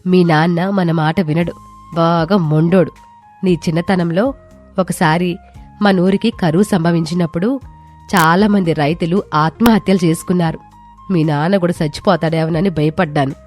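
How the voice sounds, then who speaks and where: A woman voicing a gentle, understanding village mother in scripted story dialogue. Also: on the low side, voice pushed down, lightly acted.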